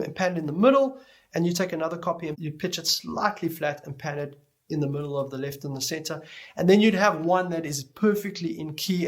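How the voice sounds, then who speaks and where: A man giving an explanatory tutorial lecture as an instructor, speaking deliberately and stressing key words as he goes. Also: a little high. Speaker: music production instructor.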